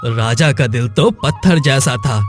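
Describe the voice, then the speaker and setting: A man's voice: medium pitch, animated, measured pace, music in the background, natural voice. A man voicing a poor, bewildered farmer and father in a cartoon moral story.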